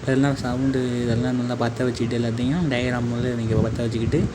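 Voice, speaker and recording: A man's voice: medium pitch, steady tone, fast. A man narrating a casual how-to tutorial as an informal channel host, speaking directly to viewers. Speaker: host of a DIY electronics channel. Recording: clearly noisy amateur recording.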